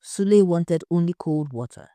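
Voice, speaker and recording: A woman's voice: fairly low pitch, lively intonation, normal speed, dark tone, dry recording. A woman reading a scripted story as its narrator.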